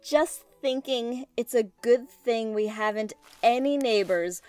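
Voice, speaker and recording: An actress playing an affectionate, teasing wife in old-time radio drama style, playful and theatrical. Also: female voice, a little high, unhurried, darkish tone, faint music behind, close to an everyday voice.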